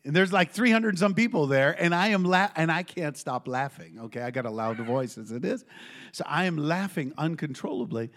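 A middle-aged man preaching to a congregation in a church, telling a personal story with lively call-and-response. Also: fairly high pitch, normal speed, a little hiss or hum. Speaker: pastor.

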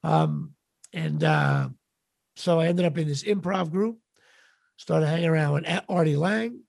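A middle-aged man with a raspy voice, chatting casually as an interview guest in a wry, self-deprecating, halting way. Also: fairly high pitch, darkish tone, no room sound, clean studio sound. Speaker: podcast guest, actor and comedian.

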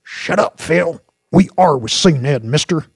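Here is a man performing a brash, aggressive cop from an audiobook thriller. He barks his commands loudly in a raised pitch, bossy and forceful in manner, with a dry close sound. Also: animated, moderate pace, dry recording. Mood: angry, yelling. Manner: shouting, commanding. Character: big brash armed male cop, aggressive, barking orders.

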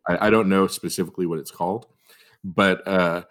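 A man's voice: low voice, fairly even intonation, fairly quick, fairly bright tone. A man co-hosting a podcast, chatting casually in an easygoing, joking way and laughing often. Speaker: podcast co-host. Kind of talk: casual chat.

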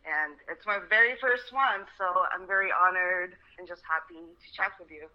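A woman's voice: fairly low pitch, expressive, moderate pace, highs cut off. A woman in her thirties from Russia, a guest talking chattily and upbeat about her path into medicine. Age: 30s. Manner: chatty and upbeat, frequent "um" and laughs. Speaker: guest, medical resident and bikini competitor. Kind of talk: casual interview conversation.

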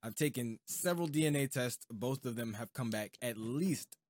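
A man's voice: mid-range voice, normal speed, darkish tone, slight background noise. Casual man chatting on a podcast. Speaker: podcast host.

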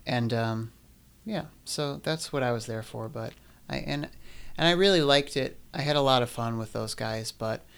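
Gravelly young man, relaxed and rambling. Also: mid-range voice, moderate pace, hardly any pauses. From Illinois, Midwest US. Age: 20s. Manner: relaxed, rambling, hesitant with fillers. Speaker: musician guest. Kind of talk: casual interview chat.

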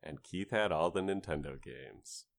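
Deep voiced guy on a gaming podcast telling stories, kinda mock-epic, swears a lot. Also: measured pace, creaky. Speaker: podcast co-host. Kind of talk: casual storytelling on a gaming podcast.

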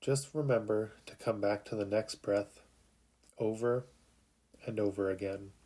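A man narrating a guided sleep meditation in a calm, soothing, unhurried way with long pauses. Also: on the low side.

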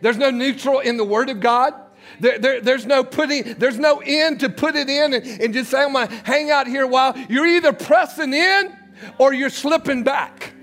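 A male pastor preaching a sermon, swinging between loud shouts and hushed low passages and repeating words as he builds. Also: normal speed, soft background music.

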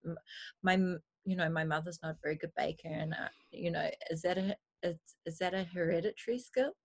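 A woman's voice: on the low side, fairly even intonation, fairly quick. A woman in her forties, a podcast guest, chatting casually and enthusiastically with frequent laughs. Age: 40s. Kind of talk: casual conversation.

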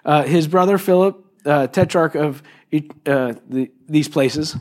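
A man in his fifties, a pastor, preaching a sermon in a loose, conversational way, his voice a little raspy today, with many fillers and restarts. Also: a little high, measured pace, darkish tone. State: raspy voice this morning.

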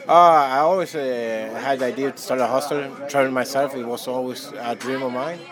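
A man's voice: mid-range voice, normal speed, a crowd in the background. A man from Chile who runs a hostel, answering interview questions casually with many fillers and restarts. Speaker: hostel owner.

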